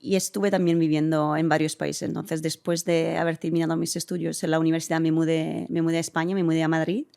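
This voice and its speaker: A woman from Italy, a guest in an interview, answering thoughtfully and pausing with hums as she searches for words. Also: low-pitched, little pitch movement, creaky, warm tone. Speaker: interview guest, community center director.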